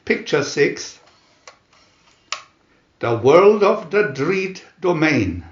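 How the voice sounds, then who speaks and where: A man reading comic book captions as a dramatic narrator, announcer-like, with long pauses between phrases. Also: a little high, sing-song, roomy sound, highs cut off. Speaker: narrator reading View-Master booklet captions.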